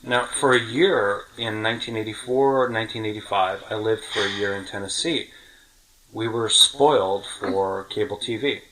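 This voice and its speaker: A man's voice: lowish voice, moderate pace, bright, forceful tone, poor audio. A middle-aged man hosting a podcast, chatting casually and reminiscing in a talkative way, often rephrasing himself. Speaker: podcast host.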